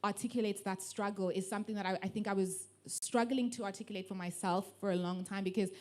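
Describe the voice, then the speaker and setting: A young woman from Africa, speaking thoughtfully on a book panel with pauses and restarts. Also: mid-range voice, steady tone. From South Africa. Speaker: panelist, online book lover. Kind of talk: casual panel talk.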